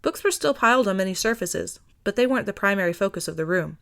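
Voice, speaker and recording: A woman's voice: medium pitch, lively intonation, quick pace, creaky, fairly bright tone. A woman reading a story aloud as scripted narration. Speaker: podfic reader.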